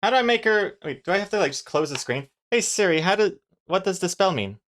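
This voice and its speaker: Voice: medium pitch, lively intonation, fast, fairly bright tone. A comic artist from New Zealand with a mixed, not quite New Zealand accent, chatting casually with livestream viewers and thinking aloud in a rambling way.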